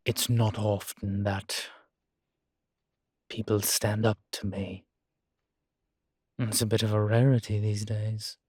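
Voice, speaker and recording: A man as a slow, low-voiced ancient vampire. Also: little pitch movement, husky, no echo, clean studio sound. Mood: reflective, humbled. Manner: halting, reflective, with sighs and soft chuckles. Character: ancient male vampire, guilt-ridden, gentle, protective, wry. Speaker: character in an audio roleplay. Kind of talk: intimate one-sided audio roleplay acting.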